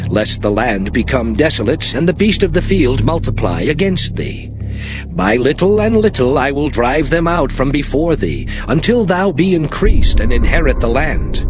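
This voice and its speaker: A man delivers a dramatized scripture reading with solemn, declamatory weight. His pitch sits in a middle register and moves expressively through each measured phrase, with music clearly behind him. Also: rough recording. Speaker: Bible narrator.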